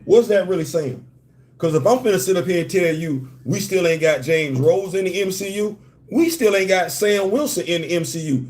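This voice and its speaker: A man's voice: high-pitched, animated, normal speed, darkish tone. A man from New York with a slight Southern-tinged accent, hosting a live stream and talking emphatically in slangy, repetitive bursts while greeting viewers. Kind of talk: animated live-stream commentary.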